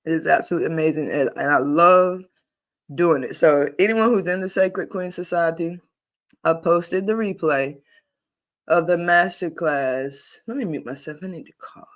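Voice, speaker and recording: A man hosting a casual spiritual talk on a live online session, animated and emphatic, with easy laughs, repeated phrases and rhetorical questions. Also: fairly high pitch, normal speed. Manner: repeats and restarts while building a point, informal and folksy. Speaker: host of a paid spiritual membership group.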